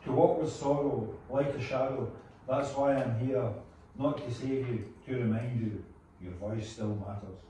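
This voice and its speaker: In a heavily echoing, noisy room, a sorrowful bard of myth played by a man offers guidance. The mid-pitched voice is solemn and measured, poetic in a film table read. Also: steady tone, warm tone, lightly acted. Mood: solemn, consoling. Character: sorrowful male mythic bard, a guiding mentor. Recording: very echoey room, distant mic, noisy.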